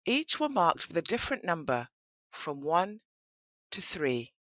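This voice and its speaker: A woman's voice: on the low side, big pitch swings, measured pace, fairly bright tone, dry recording. A woman narrating a scripted children's story for an audio play.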